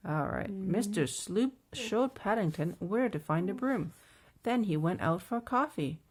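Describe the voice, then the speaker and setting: A woman reading a bedtime storybook aloud to her child as a mother, pausing for chatty asides and questions to engage the child. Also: mid-range voice, lively intonation, a little mellow. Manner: pauses to prompt and engage the child.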